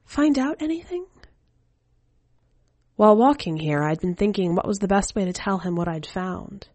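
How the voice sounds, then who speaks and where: A woman narrating scripted fiction as a story reader, with a measured, hushed delivery. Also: on the low side, dry recording. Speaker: podcast editor and story reader.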